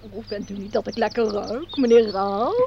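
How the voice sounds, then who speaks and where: An actress playing a nervous, chattery, tart invoice clerk, fluttery and exclamatory, in a radio play. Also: female voice, mid-range voice, steady tone, normal speed, noisy background, natural voice. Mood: fluttery, anxious. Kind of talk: Dutch radio play acting. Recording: dull lo-fi radio recording.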